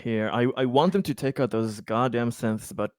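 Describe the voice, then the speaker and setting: A man's voice: mid-range voice, normal speed, darkish tone, dry recording, clean studio sound. A man playing a tabletop roleplaying game, talking casually and partly in character.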